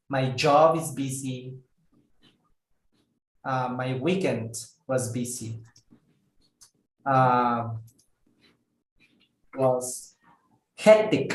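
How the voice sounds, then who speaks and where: A man teaching an English lesson to a class, speaking slowly and carefully and repeating words so students can follow. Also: mid-range voice, a little mellow. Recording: echoey room.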